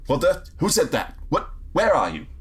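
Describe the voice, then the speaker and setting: A man playing a grumpy, sardonic space agent in a sci-fi audio drama, with a husky voice and a wry, grumbling manner. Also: a little high, wildly swooping pitch, moderate pace, heavily acted. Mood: alarmed, exasperated. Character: grumpy, trigger-happy male space agent, sardonic and exasperated. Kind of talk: first-person sci-fi audio drama narration and dialogue.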